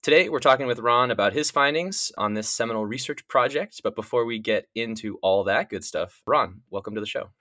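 A man's voice: mid-range voice, a little fast, hardly any pauses, pushed and bright, dry recording, clean studio sound. A man hosting a podcast, reading a scripted intro and then chatting loosely with his guest. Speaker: podcast host. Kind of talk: podcast interviewing.

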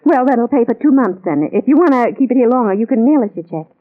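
An actress playing a brisk, courteous young female clerk in a 1940s radio mystery, businesslike and polite. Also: fairly high pitch, lively intonation. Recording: tinny band-limited 1940s radio recording.

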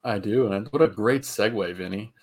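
A man's voice: fairly low pitch, moderate pace, darkish tone. A man hosting a sports podcast, joking around as he runs the segments, sniffling. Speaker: podcast host. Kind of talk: casual sports chat.

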